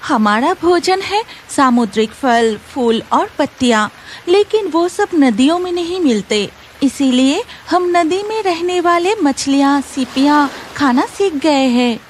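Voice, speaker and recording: A woman voicing a gentle, sorrowful mermaid in a children's cartoon story, speaking plaintively. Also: high voice, animated, unhurried, slight background noise. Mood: explaining. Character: gentle sorrowful mermaid separated from her family.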